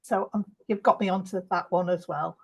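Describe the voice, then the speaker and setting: A woman's voice: on the low side, steady tone, a little fast, creaky, breathy edge. A middle-aged woman chatting casually as a reader on a book club video call. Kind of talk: casual chat.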